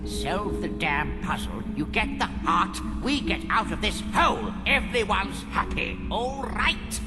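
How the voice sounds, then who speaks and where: An actor voicing a blunt, impatient prophet spirit in a fantasy game, casual and exasperated in manner. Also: male voice, high-pitched, expressive, measured pace. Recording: hall reverb under loud music.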